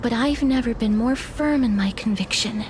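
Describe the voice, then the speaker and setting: A woman playing a gentle, solemn holy maiden of death in a fantasy game, speaking softly and formally in a measured, melancholy way. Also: a little high, slight background noise, lightly acted. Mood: resolute. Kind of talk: dubbed fantasy game dialogue. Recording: orchestral game music behind.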